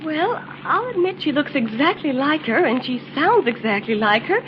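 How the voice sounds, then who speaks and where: Eager high-voiced radio heroine. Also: female voice, big pitch swings, normal speed. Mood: agitated, insistent. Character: eager, sharp-eyed young woman assistant playing reporter. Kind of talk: old-time radio drama acting. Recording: muffled, noisy old radio recording.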